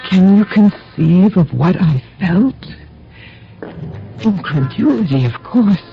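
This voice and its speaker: Proud mistress with a creaky voice. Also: female voice, on the low side, expressive, full character voice. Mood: grave, uneasy. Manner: measured, formal, theatrical. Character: proud, anxious mistress of the house, bereaved mother. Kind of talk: dramatic radio storytelling and acting. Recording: dull vintage radio, music underneath.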